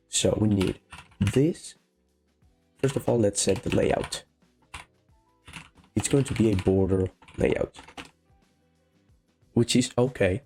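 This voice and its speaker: A man with a Venezuelan Spanish accent, a non-native speaker of English, thinking aloud haltingly through a casual coding tutorial. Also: low-pitched, dark tone. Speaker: programming tutorial host. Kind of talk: casual live-coding walkthrough. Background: faint music, keyboard typing.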